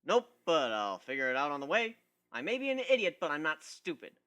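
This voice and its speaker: A man's voice: fairly high pitch, big pitch swings, a little fast, pushed and bright, clean tone. A man voicing a cocky, easygoing male griffin in a flippant, self-mocking way for an amateur story reading.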